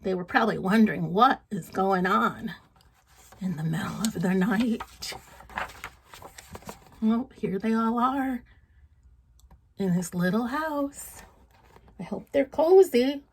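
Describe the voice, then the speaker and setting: A woman reading a story aloud for children in an expressive, playful, dramatic way, putting questions to her listeners. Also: medium pitch, slow, pauses often, husky. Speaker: storybook reader.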